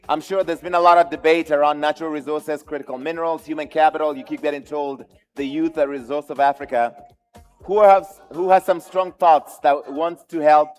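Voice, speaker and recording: A man with a slightly raised pitch hosts a forum from a handheld mic in a big conference hall. He keeps the audience in line in a brisk, upbeat manner, with faint music behind him. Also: a little mellow. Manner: upbeat, directive crowd-wrangling. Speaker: moderator. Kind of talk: live forum moderation. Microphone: handheld microphone.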